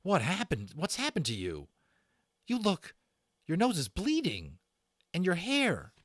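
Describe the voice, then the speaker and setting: A man voicing an excitable, pleading schoolboy who babbles urgently in a storybook reading. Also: high-pitched, unhurried, no room sound, clean studio sound, voice pushed up, full character voice. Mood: alarmed. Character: frantic young British schoolboy, excitable and pleading.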